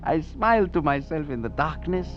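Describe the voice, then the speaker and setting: A man's voice: a little high, lively intonation, moderate pace, close to an everyday voice. Guy doing a swashbuckling rogue thief from old time radio, swoops all over, muffled recording w a bit of music. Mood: dramatic narration. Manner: theatrical, grandiloquent. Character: roguish, swaggering male thief and adventurer on the run. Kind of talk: 1940s-50s radio drama acting.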